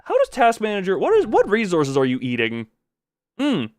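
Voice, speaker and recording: A man's voice: high voice, wildly swooping pitch, normal speed, a little mellow, dry recording. A man chatting casually and goofily as a game streamer, rambling and often interrupting himself. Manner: goofy, rambling, self-interrupting. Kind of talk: casual livestream chat while setting up a game.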